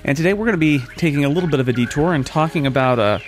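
A man's voice: on the high side, very fast, soft background music. A man hosting a podcast, giving a casual introduction. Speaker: podcast host.

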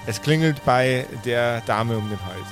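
A man's voice: mid-range voice, animated, unhurried. Man, game master, improvised narration, casual banter, faint music bed.

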